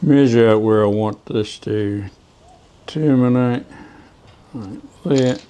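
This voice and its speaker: A man presenting practical DIY tips in a casual, unscripted demonstration, often pausing mid-phrase to search for words. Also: medium pitch, mellow, a little hiss or hum.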